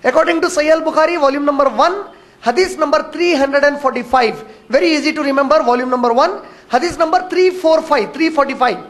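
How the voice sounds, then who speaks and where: A man, an Urdu speaker, gives a religious lecture as a Quran teacher. He speaks in a measured way but rushes through honorific phrases. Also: very high voice, a bit bright. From India.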